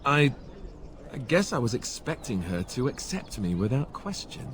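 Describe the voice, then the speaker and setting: A man's voice: medium pitch, sing-song, normal speed, fairly bright tone, slight background noise. A man playing an earnest, awkward young warrior prince in a video game, speaking hesitantly and sincerely. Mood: hurt, dejected.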